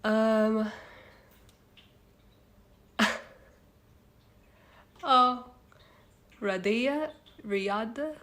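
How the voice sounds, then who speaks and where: A woman's voice: a little high, extremely slow, pauses often, a bit bright. A young woman from Egypt hosting a casual self-help livestream, talking loosely with fillers and stopping to answer viewer comments. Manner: chatty, reads out viewer comments, frequent fillers. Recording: roomy echo.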